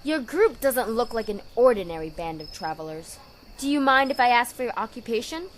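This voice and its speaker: Woman doing calm polite officer asking questions, businesslike, bit high, crickets in background. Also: a little fast. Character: composed, polite female officer taking statements. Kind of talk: audio drama acting. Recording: clear background noise, crickets.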